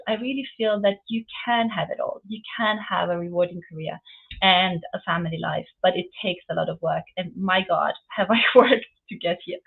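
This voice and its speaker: A woman from Romania in her forties, speaking earnestly as a panelist in a webinar, with many fillers. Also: medium pitch. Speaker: panelist, UN office chief. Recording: phone-like narrow line.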